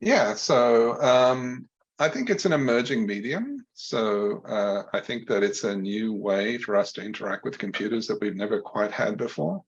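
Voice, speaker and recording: A man with a fairly low voice reasons aloud on a panel. He pauses often, trails off and fills the gaps. The audio is dull and lo-fi, like a muffled video call. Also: expressive. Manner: thoughtful, hesitant, with many fillers.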